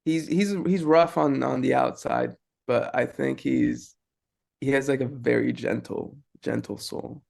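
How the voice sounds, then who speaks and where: Young male actor interviewee, very gravelly, slow and thoughtful delivery. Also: mid-range voice, a little mellow. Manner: halting, thoughtful, repeats words. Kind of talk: casual interview chat.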